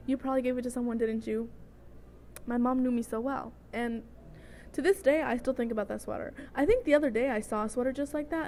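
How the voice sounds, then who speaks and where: Higher-voiced young woman, chatty storyteller. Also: gravelly, darkish tone. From El Salvador. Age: 20s. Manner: chatty, tangent-prone, often checking in with "okay?". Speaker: vlogger telling personal stories. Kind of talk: casual storytime chat. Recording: noticeable noise, homemade vlog audio.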